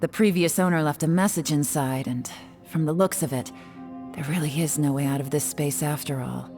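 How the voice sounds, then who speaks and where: A low-voiced, composed woman investigator in a story game. She is shrewd and matter-of-fact, measured in delivery, with light music underneath. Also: warm tone. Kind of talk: video game story acting.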